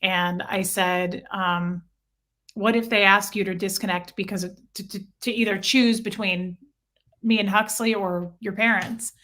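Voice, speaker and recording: A woman's voice: mid-range voice, steady tone, a little slow, a bit bright. Woman with mid-range voice, earnestly recounting. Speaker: interview guest recounting personal experiences. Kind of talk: casual, personal storytelling.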